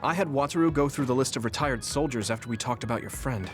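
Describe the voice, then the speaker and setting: A man playing an earnest, caring young soldier in a video game, speaking sincerely and clearly. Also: medium pitch, fast, close to an everyday voice. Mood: apologetic, troubled. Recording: background music under dialogue. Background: game soundtrack music.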